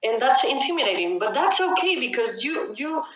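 Loud, fast-talking young woman. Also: fairly high pitch. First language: Spanish. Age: young adult. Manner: loud, fast and excitable, often shouting. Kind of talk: casual conversation. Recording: echoey room.

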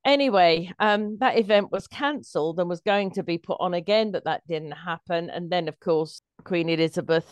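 Lower-pitched woman in a dry room. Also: normal speed, hardly any pauses, clear, resonant tone, no room sound. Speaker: guest performer. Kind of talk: casual anecdote in an interview.

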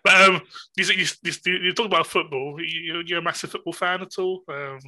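A man's voice: sits high, fairly even intonation, brisk, sharp tone. A man hosting a podcast, chatting casually with frequent laughs and many fillers and repeated words. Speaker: podcast host. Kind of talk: casual chat.

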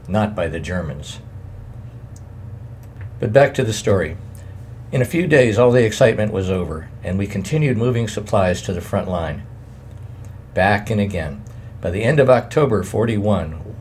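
A man reading a wartime memoir aloud in a plain, measured way, adding his own editor's notes. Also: low-pitched, darkish tone, slight background noise.

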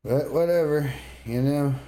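A man's voice: a little high, a little slow, mellow. A man co-hosting a podcast, chatting casually about games in a blunt, offhand way. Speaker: podcast co-host. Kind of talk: casual gaming chat. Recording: faint background noise.

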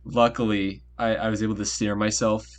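Lower-voiced young man telling a story. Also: level tone, a little fast. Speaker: podcast co-host. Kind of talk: casual storytelling.

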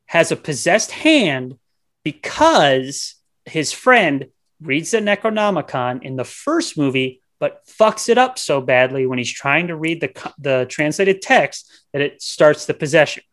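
A man's voice: a little high, big pitch swings, a little slow, a bit bright. A man co-hosting a podcast, casually retelling a movie in a rambling, slangy, profane way. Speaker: podcast co-host. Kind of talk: casual movie review banter.

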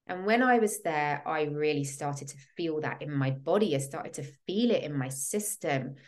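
A woman in her thirties from the UK speaks in a low, grounded voice as she hosts her own podcast. She tells her life story in an earnest, reflective way, and her delivery lifts when she reaches the more emotional moments. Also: lively intonation, moderate pace. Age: 30s. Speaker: podcast host, women's coach. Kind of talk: personal storytelling monologue.

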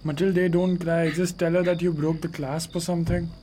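A man's voice: a little high, level tone, moderate pace, warm tone, a little hiss or hum, natural voice. A young man playing a loving but exasperated, practical husband in an amateur radio drama, earnest and plain-spoken. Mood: reassuring. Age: young adult.

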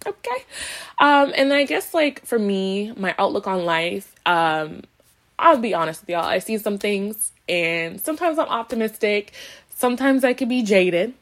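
Woman, late twenties, from Canada, podcast co-host, medium pitch, casual, playful, sassy, slangy asides. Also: animated, a little slow. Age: late 20s. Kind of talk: casual self-introduction, conversational podcast talk.

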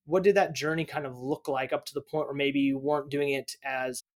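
A man's voice: mid-range voice, fairly even intonation, a little fast. A man hosting a podcast, reading a prepared intro and then asking questions in a chatty way with a few restarts. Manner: reads a scripted intro, then chats with restarts and fillers. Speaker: podcast host. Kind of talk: podcast interview.

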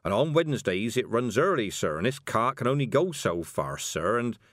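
Man playing a reproachful village carter, lively pitch. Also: moderate pace, no echo, studio quality. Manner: reproachful, keeps saying sir. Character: deferential, grumbling village carter, male, stubbornly practical. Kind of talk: audiobook character voice.

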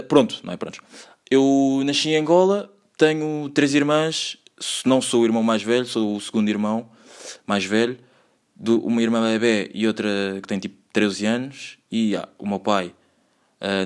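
A young man in his twenties with a Lisbon Portuguese accent hosts a solo podcast. His voice sits a bit low, and he talks in a loose, meandering way, piling up slang and filler words as he goes. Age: 20s. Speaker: podcast host. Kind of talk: solo casual podcast monologue.

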